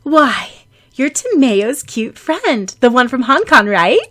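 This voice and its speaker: An overbearing mother from an audiobook, acted by a woman. She gushes and exclaims effusively, her voice raised in pitch. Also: sing-song, slow pace, pushed and bright, heavily acted. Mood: gushing. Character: gushing, overbearing mother of a teenager.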